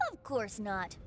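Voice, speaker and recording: Game bard, youthful male: high, animated, theatrical, friendly and teasing. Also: slight background noise, full character voice. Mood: mock hurt, teasing. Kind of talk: video game character acting.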